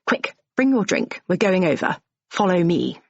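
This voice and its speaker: A woman's voice: on the low side, big pitch swings, normal speed, creaky, husky, no echo, muffled recording, full character voice. A woman putting on a bold, pushy, gushing woman. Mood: urgent. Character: bold, pushy middle-aged woman, brisk.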